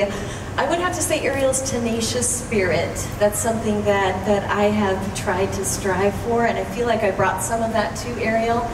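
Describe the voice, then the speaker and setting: A woman speaking as a panelist in a live stage talk, recorded from out in the audience. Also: medium pitch. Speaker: panelist, voice actress. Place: large convention hall stage, recorded from the audience. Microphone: handheld audience camera far from the stage PA. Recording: echoey hall from far off, noisy crowd.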